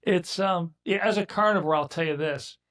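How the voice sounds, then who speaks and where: A man in his sixties talks in a blunt, emphatic way as a guest on a casual interview show. His voice sits a little high for a man, and he restarts his sentences often as he pushes his point. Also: normal speed, fairly bright tone. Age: 60s. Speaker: guest, business owner.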